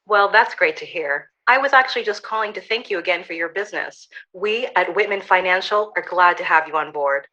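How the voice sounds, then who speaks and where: A woman's voice: on the low side, a little fast, hardly any pauses, bright, forceful tone. A woman acting in an audio drama as a courteous, businesslike financial professional, heard through a telephone filter. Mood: polite, reserved. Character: polite, businesslike female financial professional on the phone. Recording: dull, band-limited phone-call sound.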